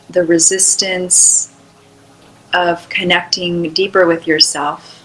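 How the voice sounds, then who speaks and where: A woman leading an unscripted guided meditation in a soothing, unhurried way, with long pauses between short phrases. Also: lowish voice, pushed and bright, slight background noise, poor audio.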